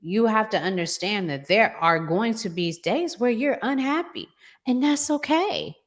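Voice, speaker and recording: A woman's voice: medium pitch, animated, measured pace. A woman hosting a talk show, giving conversational relationship advice emphatically and repeating phrases to drive them home.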